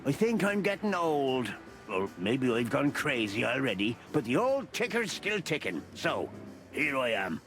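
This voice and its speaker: A man's voice: mid-range voice, very animated, a little slow. A weary old fellow from a noir game, wistful and fatherly. His folksy speech rises and falls expressively over a music bed. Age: older. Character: weary, kindly old male animal informant in noir. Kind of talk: film-noir video game acting. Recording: music under the voice.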